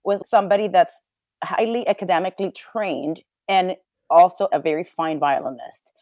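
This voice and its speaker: A woman from Venezuela, talking as a guest in a casual interview, animated and quick with asides. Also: fairly low pitch, dry recording, studio quality. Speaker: guest violist.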